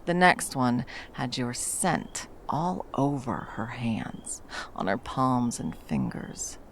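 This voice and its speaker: A woman playing a battle-hardened, fiercely protective monster hunter in an intimate ASMR roleplay, speaking to a lover with dry, tender menace and a gravelly voice. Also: extremely low pitch, very animated, heavily acted. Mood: quiet menace. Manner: menacing yet tender, slow deliberate storytelling with dry understatement.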